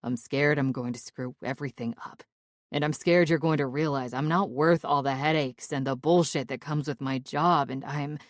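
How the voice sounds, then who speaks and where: A woman voicing a remorseful young man in love, speaking low and gravelly in a pleading, apologetic way. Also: steady tone, normal speed, no room sound, clean studio sound. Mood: pleading tender. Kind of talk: audiobook character voice.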